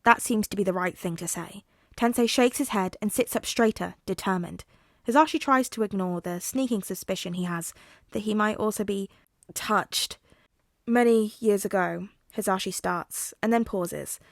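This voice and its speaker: A woman narrates a piece of fiction from a script. Her pitch is average for a woman. The recording is very dry, with little room sound. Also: moderate pace, dry recording.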